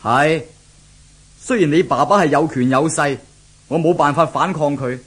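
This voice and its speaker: A man's voice: fairly high pitch, normal speed, a bit bright, husky. A man acting a bitter, hard-drinking young groom forced into marriage, brusque and melodramatic, in a 1970s Hong Kong Cantonese radio drama.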